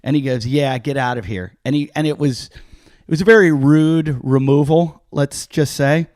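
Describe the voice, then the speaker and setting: A man's voice: a little high, normal speed, a little mellow, dry recording, clean studio sound. A middle-aged man from Pittsburgh hosting a podcast, telling self-mocking anecdotes in a loose, rambling way and acting out other people's lines. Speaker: podcast host, comedian. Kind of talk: casual storytelling chat.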